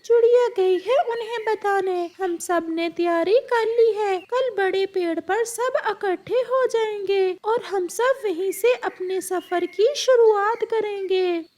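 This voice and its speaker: Woman as a very high-pitched, practical cartoon bird. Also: slow pace, few pauses, darkish tone. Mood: informative. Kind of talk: children's cartoon dubbing.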